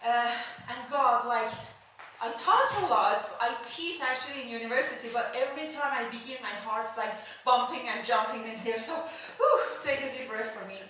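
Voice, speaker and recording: A woman's voice: on the high side, normal speed, bright, forceful tone, a little hiss or hum. A woman from Iran with a Persian accent, giving an earnest, emphatic conference talk that keeps checking in with "right?" and "okay?". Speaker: speaker. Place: large conference hall. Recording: echoey hall, from far off.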